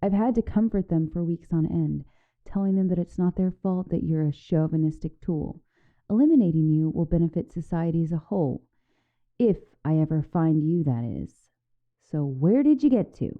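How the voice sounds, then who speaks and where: A woman's voice: lowish voice, dark tone, muffled recording. A woman voicing a vengeful, teasing young giantess in an ASMR roleplay monologue, mocking and menacing in a playful, theatrical way. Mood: stern, vengeful, then searching, annoyed. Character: gloating, teasing vengeful young giantess taunting shrunken captive.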